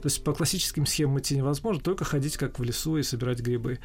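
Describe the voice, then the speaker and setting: A man's voice: medium pitch, rapid-fire, husky. A man answering as an interview guest, speaking conversationally in short, reflective, slightly hesitant fragments. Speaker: animation studio executive, interview guest.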